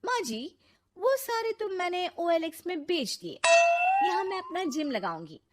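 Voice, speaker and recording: Confident sporty young daughter-in-law , woman performer, family story; lifted pitch, breezy. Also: very animated, unhurried, very noisy, full character voice. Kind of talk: Hindi family story dramatization.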